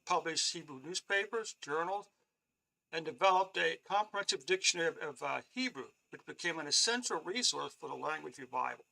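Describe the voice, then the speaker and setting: A man teaching a live-streamed Bible lesson, talking haltingly with many restarts and clearing his throat, often checking in with "okay?". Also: fairly high pitch, bright, forceful tone, low-quality recording. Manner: reads from on-screen notes and explains them.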